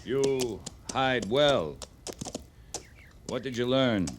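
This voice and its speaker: An actor playing a steady, earnest US cavalry officer in a radio western, talking in a plainspoken, deliberate way. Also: male voice, mid-range voice. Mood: focused, businesslike. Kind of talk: 1950s radio western acting. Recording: slightly noisy vintage radio recording.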